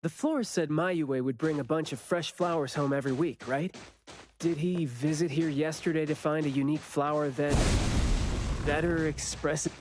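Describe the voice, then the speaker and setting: An actor playing a teasing, inquisitive young man in an anime game, with a drawn-out theatrical delivery and music behind him. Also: on the high side, steady tone, noisy background, natural voice. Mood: musing.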